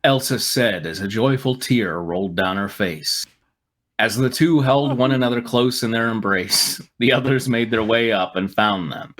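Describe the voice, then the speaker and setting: A man's voice: mid-range voice, normal speed, fairly bright tone. A man reading a story aloud as host and breaking off for sarcastic, irreverent asides. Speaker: host and reader on a fan fiction riffing show.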